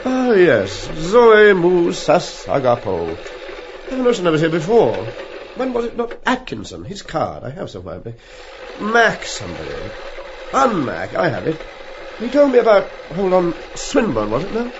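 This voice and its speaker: An actor playing a muddled, impatient drunken man in an audiobook play, husky and rambling. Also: sits high, wildly swooping pitch, moderate pace, lo-fi sound, noisy background, full character voice. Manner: rambling, broken-off, muttering.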